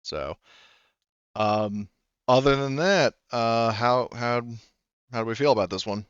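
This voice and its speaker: A man's voice: mid-range voice, lively intonation, takes it slowly, clear, resonant tone, no room sound, highs cut off. A man narrating as game master of a tabletop game, hesitant with many fillers.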